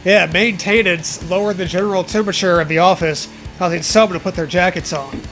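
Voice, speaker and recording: A man's voice: high voice, steady tone, fairly quick, a bit bright. A young man casually reading fan fiction aloud and riffing on it in an amused, jokey way, with some swearing. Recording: music playing behind the voice. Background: background music.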